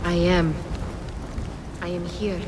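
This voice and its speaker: A woman's voice: on the low side, level tone, slow, background noise. An actress playing an insistent, cryptic young female companion in a dramatic video game cutscene, with an intense, emphatic delivery. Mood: quiet, cryptic.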